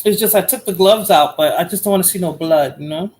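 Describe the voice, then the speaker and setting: A woman's voice: fairly low pitch, quick pace, a little mellow, breathy edge. Chatty woman with a Bronx accent. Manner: chatty, laughs often, repeats herself. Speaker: livestream host. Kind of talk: casual livestream chat.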